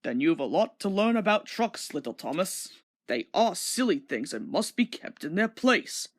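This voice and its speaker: A woman's voice: lowish voice, very animated, dry recording, studio quality. Woman as stern, portly railway director. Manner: stern, measured, instructive.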